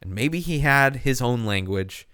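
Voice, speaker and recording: A man hosting a casual comedy podcast, talking in a rambling, halting way with plenty of swearing. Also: medium pitch, expressive, pushed and bright, clear, resonant tone, no room sound, studio quality. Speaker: podcast host.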